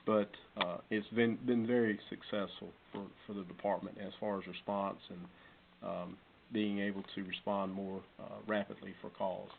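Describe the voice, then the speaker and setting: A man's voice: on the low side, little pitch movement, normal speed, vocal fry, phone-quality sound. A man answering questions in a budget meeting in an explanatory, matter-of-fact way, as a fire chief. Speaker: fire chief reporting to council. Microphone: conference call. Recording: phone-band conference call, noisy, clicks.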